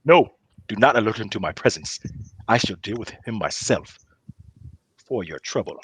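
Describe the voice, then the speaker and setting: A man's voice: fairly low pitch, expressive, normal speed, slightly rough, voice pushed down, heavily acted, low-quality recording. Man putting on a stern, imperious old king. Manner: commanding, formal. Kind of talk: comedic table read.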